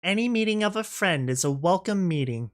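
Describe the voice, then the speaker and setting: Storybook injured young mare, played by a narrator: gentle, sincere, accepting. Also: medium pitch, lively intonation, fairly quick, voice pushed up, close to an everyday voice. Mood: gentle resignation. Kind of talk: audio storybook character voice.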